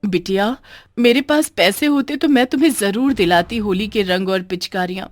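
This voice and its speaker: A woman voicing a poor, tender village mother in a cartoon moral story, plaintive and expressive. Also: medium pitch, moderate pace, dry recording, clean studio sound, soft background music, close to an everyday voice. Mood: tender regret. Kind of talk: animated moral story acting.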